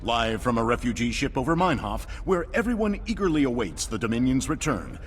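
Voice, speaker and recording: A man's voice: mid-range voice, big pitch swings, a little fast, slight background noise, close to an everyday voice. A man playing a smug, slick news anchor. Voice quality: slick, glib newsreader manner. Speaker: game character.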